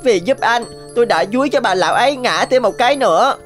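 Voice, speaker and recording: A woman's voice: a little high, lively intonation, fast talker, faint music behind, lightly acted. A woman voicing a small, clever cartoon rabbit with an earnest, coaxing manner. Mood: pleading. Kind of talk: animated cartoon dialogue.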